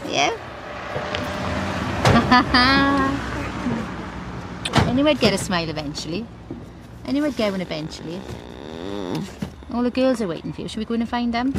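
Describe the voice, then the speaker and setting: A woman carer gently coaxing and cooing to a sleepy boy in a sing-song voice. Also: on the high side, lively intonation, measured pace, pauses often, noisy background. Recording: loud music over voice. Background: loud music.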